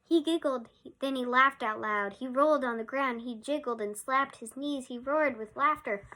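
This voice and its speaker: A woman with a high voice reads a children's picture book aloud. Her storytelling is expressive, and she leans on important words by drawing them out. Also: moderate pace.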